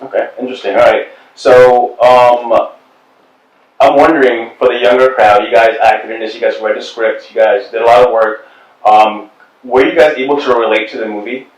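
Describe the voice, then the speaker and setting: A man's voice: medium pitch, moderate pace. Male podcast host, easygoing joker, recorded roomy and off-mic. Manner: relaxed, joking questioner. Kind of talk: casual interview. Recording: roomy room, mic at a distance.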